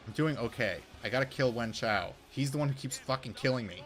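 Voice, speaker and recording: A young man rambling through casual gameplay commentary as a game streamer, swearing when frustrated, his voice going hoarse. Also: mid-range voice, fairly quick, a bit bright, faint music behind. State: losing his voice.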